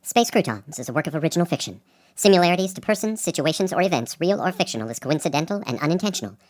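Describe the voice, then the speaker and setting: A woman's voice: deep voice, expressive, racing. A woman announcer reading scripted closing credits.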